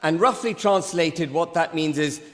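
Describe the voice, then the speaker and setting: A man in his sixties, from Pakistan, giving a forceful, rhetorical debate speech with emphatic repetition. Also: fairly high pitch, a little slow. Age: 60s. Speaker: debate speaker. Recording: long hall reverb.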